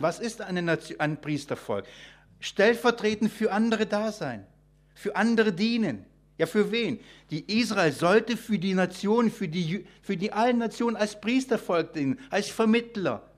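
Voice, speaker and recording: Man, preacher, fairly high voice, off-the-cuff sermon, emphatic, repetitive, rhetorical questions, halting restarts. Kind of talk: extemporaneous expository sermon.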